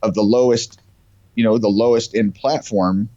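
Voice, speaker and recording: Casual male guest, low and mellow, has a cold, informal tech chat on a dull-sounding call. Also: fairly even intonation, moderate pace, no room sound. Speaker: guest company representative. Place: remote call over the internet. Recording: muffled, dull call audio.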